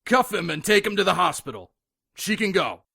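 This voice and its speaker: A man playing a stern, smug police lieutenant in a radio drama, curt and commanding, with a raspy voice. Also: sits high, sing-song, moderate pace, pushed and bright, exaggerated voice.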